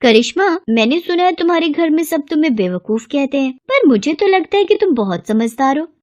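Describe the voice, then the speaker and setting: A woman voicing a scheming, flattering wife with a persuasive, smooth-talking manner in a cartoon story. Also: high-pitched, brisk, no room sound, dull audio, pitched above the performer's own voice. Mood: sweetly flattering. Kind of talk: Hindi moral story cartoon acting.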